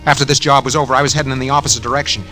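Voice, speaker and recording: A man's voice: mid-range voice, level tone, rapid-fire, natural voice. An actor playing a guilty, nervous schemer who narrates his own crime in hardboiled slang, in 1940s radio drama style. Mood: tense, confiding. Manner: hardboiled slangy first-person storytelling. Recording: vintage 1940s radio recording, music behind.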